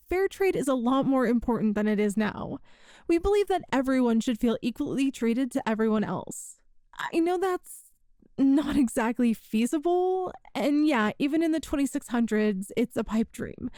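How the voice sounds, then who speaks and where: A woman's voice: high-pitched, darkish tone, dry recording, studio quality. A woman playing a flustered, apologetic, grateful time traveler from the future in a one-sided ASMR roleplay, hesitant and earnest as she talks to the listener. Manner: hesitant, earnest, self-deprecating.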